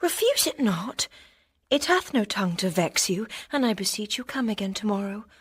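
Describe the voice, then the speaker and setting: A woman's voice: mid-range voice, big pitch swings, normal speed, breathy edge. An actress playing an ardent, proud noble lady in love, speaking with formal yearning in a Shakespearean radio drama.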